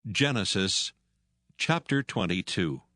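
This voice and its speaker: A man's voice: low-pitched, expressive, takes it slowly, pushed and bright, dry recording, clean studio sound. A man narrating scripture as a scripted reading.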